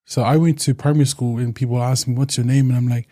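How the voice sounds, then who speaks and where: Samoan-New man, mellow and reflective. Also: mid-range voice, fairly even intonation, fast, dry recording, studio quality. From New Zealand. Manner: reflective, with many restarts and fillers. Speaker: podcast guest. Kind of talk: casual interview talk.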